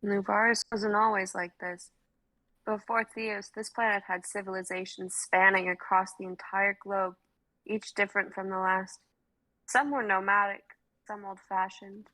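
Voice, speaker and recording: A woman plays a guarded guide who explains only what she must and then cuts the talk short. Her delivery is flat, her voice bright, and the sound dry. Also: medium pitch, measured pace, no echo, low-quality recording. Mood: cautious. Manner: terse, matter-of-fact. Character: guarded female guide, terse and cautious.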